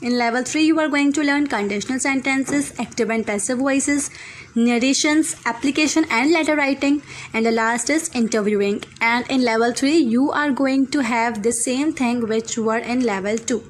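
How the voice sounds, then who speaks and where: A woman's voice: fairly high pitch, moderate pace. Earnest Pakistani woman teacher, noisy recording. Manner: earnest, many fillers, list-like delivery. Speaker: online English teacher. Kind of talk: course introduction.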